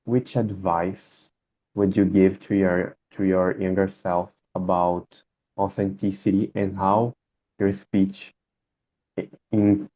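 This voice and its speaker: A very deep, flat man's voice, slow and dark, halting as he looks for words. Heard through dull, lo-fi video call audio. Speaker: meeting participant asking a question. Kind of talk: question in an online meeting.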